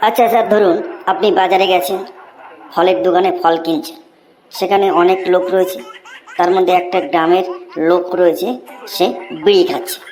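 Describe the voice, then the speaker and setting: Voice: mid-range voice, steady tone, brisk, pauses often, a little mellow, a little hiss or hum, lightly acted, low-quality recording. An actor voicing a cheeky young schoolboy with an earnest, deferential, pleading manner in a cartoon comedy. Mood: explaining slyly. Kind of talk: exaggerated Bengali cartoon comedy dubbing.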